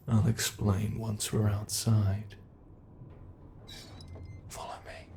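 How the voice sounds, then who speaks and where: A man voicing a sinister, playful monster in an ASMR horror roleplay, gravelly and sometimes breathy, taunting with theatrical, unhurried menace. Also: fairly low pitch, warm tone, slight background noise. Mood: mocking, menacing. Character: sinister playful male monster hunting its captive.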